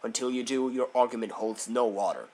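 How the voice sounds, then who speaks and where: A man's voice: mid-range voice, brisk, very noisy, natural voice. A man playing a stern, haughty prosecutor who speaks in a pompous, formal and demanding way in a courtroom audio drama. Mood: scornful. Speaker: voice actor in a fan audio drama.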